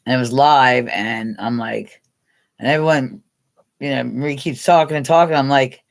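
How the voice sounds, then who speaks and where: A woman's voice: a bass voice, normal speed, gravelly. Rambling chatty American woman livestreamer. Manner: rambling, chatty, laughs often, talks straight to viewers by name. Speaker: livestream host. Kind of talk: casual live chat with viewers.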